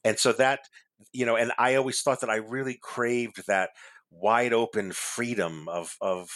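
A middle-aged man from Massachusetts, hosting a conversational interview about writing, reflective and quick to laugh, often repeating his phrases. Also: medium pitch, steady tone, fairly bright tone, no echo. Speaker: host, memoir author.

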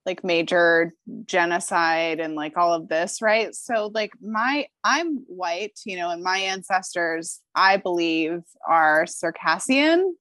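A woman guest on a podcast, animated and opinionated, talking through astrology with plenty of filler words and the odd curse. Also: on the low side, slow pace, hardly any pauses, no echo. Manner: animated, opinionated, swears, lots of filler likes. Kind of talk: casual podcast chat.

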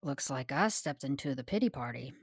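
A woman's voice: rumbling low, expressive, fairly quick, noisy background. A woman playing a tough, blunt, regretful woman of the space underworld, confrontational, in a sci-fi audio drama. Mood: wry.

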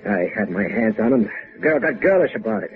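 A man's voice: fairly low pitch, lively intonation, fairly quick, lightly acted. An actor playing a sullen, insolent henchman of a smuggler in a 1950s radio crime drama. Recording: muffled 1950s radio recording.

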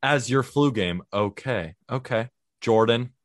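A man co-hosting a baseball podcast, talking in an upbeat, energetic, positive way. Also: mid-range voice, measured pace, no room sound, clean studio sound. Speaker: podcast co-host. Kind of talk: casual sports podcast chat.

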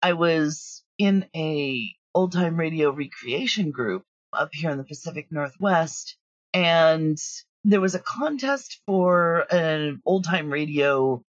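A woman's voice: low voice, expressive, slow, dry recording, muffled recording. A woman talking casually as an interview guest, recorded remotely from home. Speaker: audio drama writer and producer, interview guest.

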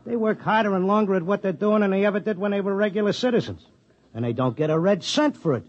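Brief: streetwise New tip-sheet man, gruff and slangy, 1950s radio play, muffled lo-fi audio. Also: sits high, expressive, quick pace. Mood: determined. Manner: slangy, blunt, tough-guy talk. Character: streetwise Broadway racetrack tipster, devoted husband. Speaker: narrator and lead. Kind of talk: 1950s radio drama acting. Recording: dull 1950s radio broadcast.

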